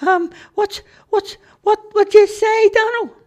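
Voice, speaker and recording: A man voicing a timid, jittery male character who stammers in a very high, squeaky voice. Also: fairly even intonation, slow. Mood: panicked. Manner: stammering, panicky.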